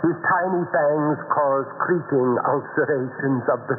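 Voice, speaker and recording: An actor playing a sinister, gleeful spider keeper in a theatrical horror monologue, savoring each word with menace. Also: male voice, fairly high pitch, unhurried, noisy background, lightly acted. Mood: gloating, sinister. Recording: tinny shortwave radio, music behind.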